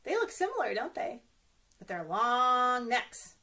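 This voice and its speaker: A woman with a high, bright voice leads a story time for small children. Her delivery is very lively and sing-song, swinging widely in pitch. She talks directly to the kids and often turns to them with questions. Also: measured pace. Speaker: children's librarian reading stories to kids. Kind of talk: read-aloud story time for young children.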